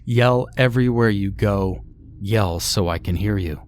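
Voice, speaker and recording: A man's voice: fairly low pitch, a little slow, darkish tone, close to an everyday voice. A man playing a panicking, protective father who gives urgent commands in a horror story. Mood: urgent commanding.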